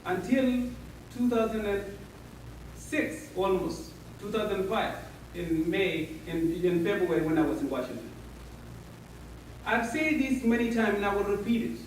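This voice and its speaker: Ethiopian man, high voice, storytelling speech, caught from afar in a big echoing room, faint hiss. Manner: anecdotal, halting, non-native phrasing. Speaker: speaker at a community meeting. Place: large echoey meeting hall. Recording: echoey hall, mic far off, faint hiss.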